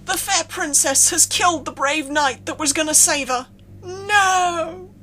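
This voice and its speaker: A woman's voice: very high voice, a little slow, bright, forceful tone, voice pushed up, heavily acted. A woman voicing a playful, dramatic young boy who grumbles, in an acted story reading. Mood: mock dying.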